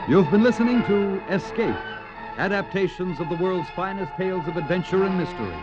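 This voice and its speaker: Male mid-century radio announcer, high register, declamatory read over loud music, dull vintage sound. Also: fairly quick. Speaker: armed forces radio announcer. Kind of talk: 1940s-50s scripted radio announcing. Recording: muffled old radio recording, loud music.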